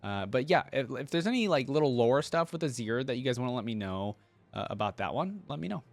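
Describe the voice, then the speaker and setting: A man's voice: mid-range voice, sing-song, fairly quick, no room sound. A man hosting a reaction video, giving informal, chatty, easily amused commentary.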